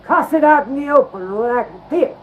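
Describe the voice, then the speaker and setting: An actor playing a scrappy old backwoods hermit with a creaky voice and a folksy, rambling rural American drawl in an old-time radio drama. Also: male voice, very high-pitched, expressive, quick pace. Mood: wary, shouting. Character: scrappy old backwoods hermit man, wary, boastful, loyal. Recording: muffled, hissy vintage radio recording.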